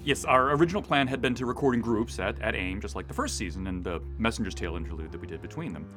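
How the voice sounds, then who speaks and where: A man co-hosting a podcast as a director, explaining things in measured phrases in a conversational, loosely scripted style. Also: fairly low pitch, fairly bright tone, faint music behind. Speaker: audio drama director, co-host.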